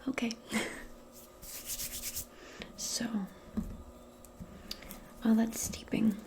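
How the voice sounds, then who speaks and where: A woman speaking softly and breathily, often in a whisper, in a gentle, casual ASMR role play as a modern witch. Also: on the high side, monotone, vocal fry. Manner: unhurried, gentle, casual murmuring. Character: modern witch giving a calming spell appointment. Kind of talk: soft-spoken ASMR personal attention role play.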